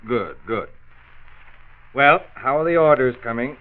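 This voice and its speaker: Man playing an earnest factory boss, 1940s radio drama, mid-pitched, narrow muffled old recording. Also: animated, a little slow, close to an everyday voice. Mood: businesslike.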